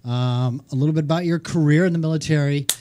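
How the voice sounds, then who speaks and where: Quick-talking, chatty male radio host. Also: fairly high pitch, dark tone. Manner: chatty, rambling, stammering repeats. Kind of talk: casual radio interview.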